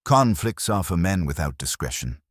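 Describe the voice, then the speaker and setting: Imperious male genius detective as a man narrator acts him, very deep and husky, pedantic, audiobook mystery. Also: very animated, normal speed, no room sound, clean studio sound. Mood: probing. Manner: pompous, precise, formal.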